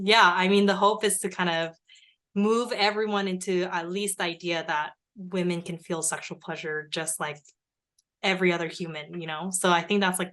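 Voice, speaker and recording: Bright-voiced chatty young woman. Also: lowish voice. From Korea. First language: Korean. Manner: chatty, many "like" fillers. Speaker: podcast guest. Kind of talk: casual podcast interview.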